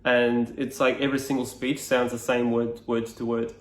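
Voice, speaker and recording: A Serbian-native man talks to camera as a speaking coach, musing aloud with lots of fillers and restarts. His pitch stays fairly level throughout. The room gives his voice a clear echo. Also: level tone, moderate pace. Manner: reflective, loose, many fillers and restarts. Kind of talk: casual explainer to camera.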